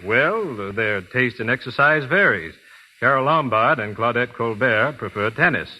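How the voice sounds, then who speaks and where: A man's voice: medium pitch, lively intonation, moderate pace, sharp tone, muffled recording. A man speaking earnestly and politely as a trainer guest in a scripted 1930s radio interview. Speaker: guest physical trainer and product endorser. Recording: vintage 1930s radio broadcast.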